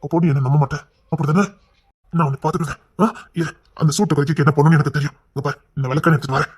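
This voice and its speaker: An actor playing a stubborn, controlling husband and anxious father-to-be in an emotional melodrama, insistent and repetitive, moving between hushed coaxing and agitated scolding. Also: on the high side, fairly even intonation, very fast, husky. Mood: coaxing, warning.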